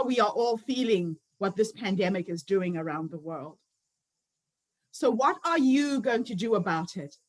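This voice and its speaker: A woman in her fifties speaks in a notably high voice. She delivers an earnest motivational talk as a summit founder, weaving personal stories through it. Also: low-quality recording. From Zimbabwe. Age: 50s. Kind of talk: motivational speech.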